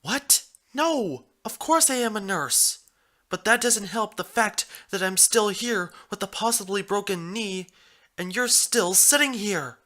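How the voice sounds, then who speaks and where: Man voicing an exasperated, high-pitched female pony nurse. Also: lively intonation, a little slow, bright, forceful tone, exaggerated voice.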